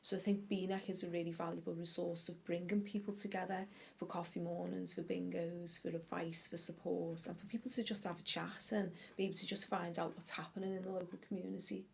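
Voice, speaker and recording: A woman, a community wellbeing officer calmly explaining her aims in an interview. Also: on the low side, fairly quick, gravelly, hardly any pauses, husky. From Liverpool, England. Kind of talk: interview answers to camera.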